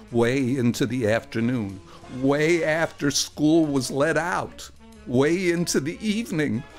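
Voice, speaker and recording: A man performing a first-person story for radio, with a wry, animated and earnest delivery. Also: lowish voice.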